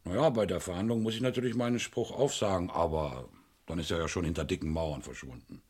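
Crime radio play: wry, shrewd police inspector, husky low voice, teasing and superior. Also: male voice, fast, a little mellow. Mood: mocking, commanding. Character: shrewd, sardonic male police inspector, breezily condescending to suspects.

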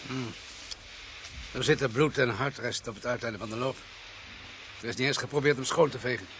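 A matter-of-fact police doctor acted by a Dutch man in a radio drama. His voice is medium in pitch and measured in manner. Noticeable noise runs behind him. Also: lightly acted. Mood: clinical.

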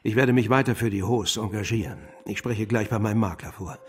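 An actor playing an eager, loyal gentleman investor in a German radio drama, earnest, formal and admiring. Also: fairly low pitch, brisk, husky.

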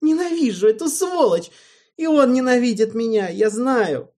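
A man's voice: high-pitched, lively intonation, measured pace, pitched above the performer's own voice. A man voicing a hysterical, spiteful young male king who throws childish tantrums. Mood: furious. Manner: shrieking, petulant, childish tantrums.

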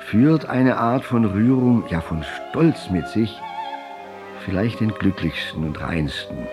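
A man narrating a literary audio drama in a formal, elevated storytelling manner. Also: fairly low pitch.

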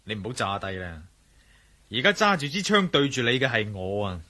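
An actor playing a bold, wary adventurer hero in a radio drama, speaking Cantonese with a Hong Kong accent in a wry, commanding manner. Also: male voice, medium pitch, sing-song, a little fast, pushed and bright. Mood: tense, threatening. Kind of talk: radio drama acting with spoken inner monologue.

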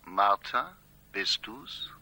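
An actor playing an urbane, sardonic male official in a radio drama, gravelly, wordy, dry and insistent. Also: on the low side, animated, drawn-out words, heavy background noise, exaggerated voice.

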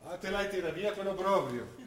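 Caring old dad, homely, gently probing, heavy stage echo. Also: male voice, high voice, animated, a little fast, soft background music. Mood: concerned. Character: older father, plain-spoken and caring. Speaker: stage actor. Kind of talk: theatre drama broadcast on radio. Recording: very echoey stage sound with noise.

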